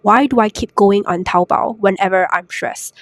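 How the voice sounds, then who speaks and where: A young woman hosting a solo podcast while sick, her voice raspy and congested, chatty and self-mocking with lots of rhetorical questions. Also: mid-range voice, normal speed, no echo. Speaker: podcast host. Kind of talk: casual solo podcast talk. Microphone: new podcast microphone.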